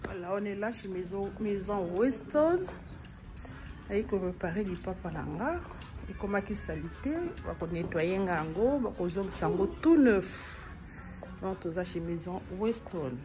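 A woman's voice: mid-range voice, unhurried, music in the background. Chatty woman vlogging in a shop. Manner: chatty, code-switching with Lingala phrases. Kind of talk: casual vlog chat. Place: shoe shop.

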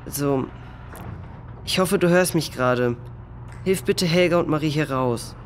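A man's voice: fairly high pitch, a little slow, a little hiss or hum, lightly acted. A male performer playing an earnest, responsible boy who leads his friends in a children's audio drama. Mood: pleading, quiet.

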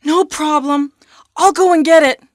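A high, slow, earnest game heroine. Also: female voice, steady tone, studio quality. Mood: concerned, earnest. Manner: stilted, slow, over-enunciated delivery. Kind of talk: 1990s video game voice acting. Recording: long hollow reverb.

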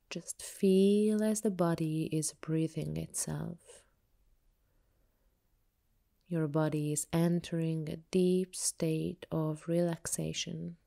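Woman, guided meditation teacher, soft mellow tone, very slow pace, soothing and measured. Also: deep voice, vocal fry. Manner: soothing, measured, unhurried instruction. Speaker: yoga teacher guiding meditation.

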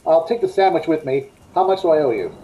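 A woman playing a smooth-talking, menacing male stranger in a 1940s-style radio drama, insistent and controlling. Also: deep voice, expressive, fast. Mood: brisk, courteous. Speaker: actor in a radio play. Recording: dull video-call audio.

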